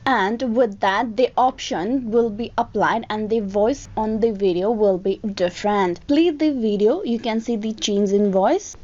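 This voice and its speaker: A woman's voice: mid-range voice, measured pace, few pauses, darkish tone. A woman hosting a tutorial, giving scripted step-by-step instructions in a how-to narration. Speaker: tutorial host. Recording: dull lo-fi voice-over.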